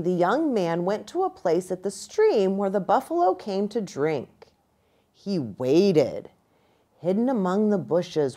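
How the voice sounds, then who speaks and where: A middle-aged American woman reading a storybook aloud to children in an expressive, deliberate way, pausing within phrases. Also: medium pitch. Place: small indoor room with bookshelf and armchair.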